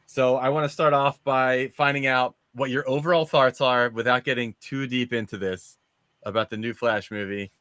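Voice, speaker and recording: A man's voice: mid-range voice, fairly even intonation, moderate pace, fairly bright tone, smooth, dry recording. A man hosting a casual panel discussion about movies, steering the conversation. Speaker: host of a movie discussion show.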